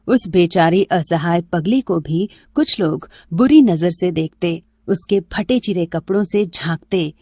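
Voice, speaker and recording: Woman reading out a story, unhurried, mid pitch, close dry recording. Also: a little mellow, no echo. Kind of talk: scripted story narration.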